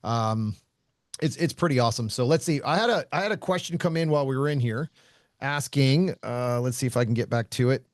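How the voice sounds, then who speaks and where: Man, loud voice, blunt, laughs a lot, casual podcast talk, dry close mic. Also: medium pitch, a little fast, dry recording. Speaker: shooting instructor and podcast host.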